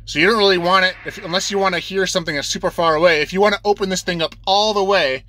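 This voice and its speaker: Bright-voiced man giving a casual tutorial. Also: sits high, fairly even intonation, fast talker. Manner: plain-spoken, explains step by step, repeats himself. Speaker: instructor explaining CB radio settings to beginners.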